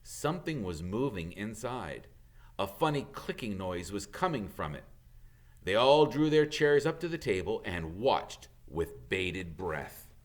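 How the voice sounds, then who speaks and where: A man reading a children's story aloud as an expressive narrator, like a teacher reading to pupils. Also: fairly low pitch, a little slow.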